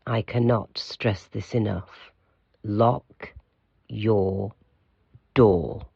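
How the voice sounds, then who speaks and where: An actor playing the stern, secretive head of a mysterious institute in an audio drama, speaking formally and with control in a gravelly voice. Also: male voice, fairly low pitch, steady tone, extremely slow, a little mellow, no echo, dull audio, full character voice. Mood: grave warning.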